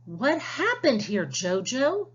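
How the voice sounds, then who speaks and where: A woman's voice: fairly high pitch, sing-song, slow pace, husky, lo-fi sound, heavily acted. A woman voicing a patient female teacher at a storybook magic school, explaining and questioning. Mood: surprised, questioning.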